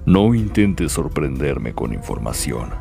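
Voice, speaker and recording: A man's voice: rumbling low, sing-song, slow, vocal fry, dark tone, soft background music, exaggerated voice. A man playing a cold, imperious demon lord in a Latin American Spanish anime fan dub, speaking with disdain. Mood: cold contempt.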